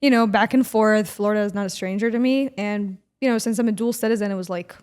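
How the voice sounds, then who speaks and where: A woman in her twenties from Israel, co-hosting a casual podcast and chatting in a relaxed way with many fillers. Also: mid-range voice, fast, clear, resonant tone. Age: 20s. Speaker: podcast co-host.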